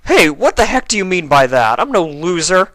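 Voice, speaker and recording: Man playing an earnest, bright-voiced young colt. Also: high voice, very animated, normal speed, dry recording, studio quality, pitched above the performer's own voice. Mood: angry. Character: earnest, protective young male pony, a dragon transformed.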